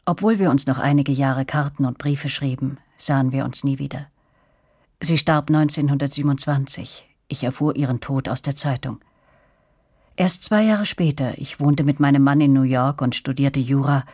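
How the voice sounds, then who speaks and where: An actress playing a grown woman who narrates a German radio drama reflectively, with a husky, breathy voice. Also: a bass voice, a little mellow, no room sound, clean studio sound, exaggerated voice.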